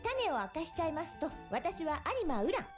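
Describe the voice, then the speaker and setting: Young visual novel nurse: high, quick, very animated, polite yet mischievous, music behind. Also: female voice. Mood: amused. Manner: polite, expressive. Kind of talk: Japanese visual novel voice acting. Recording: game audio with music behind. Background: game music.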